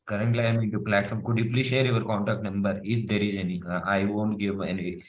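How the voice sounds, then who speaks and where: A man's voice: lowish voice, flat delivery, brisk, muffled recording. A man from India in his forties, a prospective student on a video call, speaking hesitantly with many fillers.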